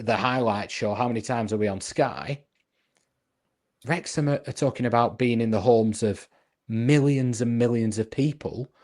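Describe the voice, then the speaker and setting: A man co-hosting a casual football podcast, reasoning his points out loud with frequent restarts. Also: medium pitch. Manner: earnest, reasoning aloud with restarts.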